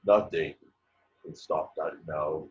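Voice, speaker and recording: A man playing a dying elderly father, a redeemed villain, speaking weakly and haltingly in an amateur table read. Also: rumbling low, fairly even intonation, darkish tone. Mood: weak, pleading. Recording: dull video call audio.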